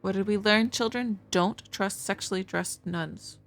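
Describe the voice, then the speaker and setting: A woman with a mid-range voice co-hosts a game playthrough. Her commentary is casual and wry, with soft music in the background. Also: mid-range voice, a little slow, vocal fry. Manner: wry, joking. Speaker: playthrough co-host.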